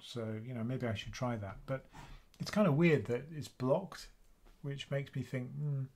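A man in his fifties with a British accent, hosting a live investing Q&A stream from a small home room, chatting casually in a quiet voice. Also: mid-range voice, measured pace. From United Kingdom. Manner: casual, chatty, soft-spoken. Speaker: investing channel host.

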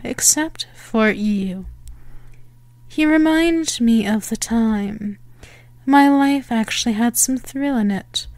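Actress as female vampire for ASMR roleplay: higher-pitched, unhurried, haughty and menacing, very dry close recording. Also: darkish tone, no echo. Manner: teasing, theatrical, deliberate phrasing.

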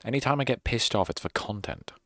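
A man's voice: fairly low pitch, expressive, fast talker, a bit bright, dry recording. A young man streamer closing out his stream with casual, rambling, self-mocking sarcastic chatter into a close microphone. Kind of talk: casual end-of-stream chat.

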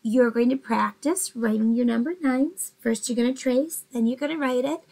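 A woman in her forties teaching a math lesson to young children from her bedroom, gentle and encouraging, often asking them questions. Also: on the high side, normal speed, vocal fry, rough recording. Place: bedroom at home, indoors.

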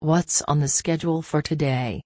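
Woman reader for a language lesson, very deep, unhurried and measured, dry studio sound. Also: fairly even intonation, mellow, no room sound. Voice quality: measured, word by word. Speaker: English phrase reader. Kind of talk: slow repeated phrase drills.

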